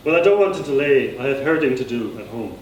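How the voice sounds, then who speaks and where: A man's voice: medium pitch, lively intonation, fast, highs cut off, lightly acted. Man reading as reserved young Irish farmer, distant. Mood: polite. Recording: echoey room, distant mic, faint noise.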